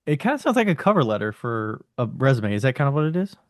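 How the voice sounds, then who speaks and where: A man's voice: mid-range voice, big pitch swings, fast talker, no echo, studio quality. A man hosting a podcast, asking questions in a casual, conversational way. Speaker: podcast host interviewing a guest.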